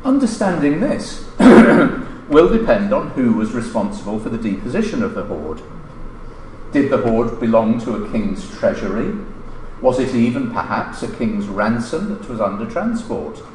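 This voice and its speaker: Middle-aged male academic, formal and deliberate, with lively intonation, heard in a reverberant lecture room. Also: medium pitch. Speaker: academic lecturer reading a paper. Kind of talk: formal scripted lecture, read aloud. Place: indoor lecture room at a lectern. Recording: roomy lecture-hall echo, background noise.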